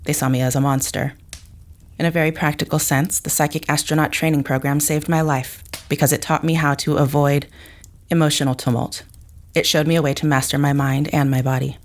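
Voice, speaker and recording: A woman's voice: deep voice, little pitch movement, brisk. A woman playing a reserved, rational psychic mother in an audio drama, speaking formally and precisely. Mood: reserved, explaining.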